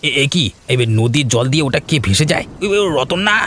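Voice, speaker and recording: A man plays a roguish villager in a cartoon folk tale, mid-pitched. He talks slangily, with plenty of little interjections, over the sound of rain. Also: lively intonation, moderate pace, a bit bright, slight background noise, lightly acted. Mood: idle, then startled. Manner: colloquial, slangy, hesitant interjections. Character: roguish village man Nagen, reformed wrongdoer. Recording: rain behind the voice.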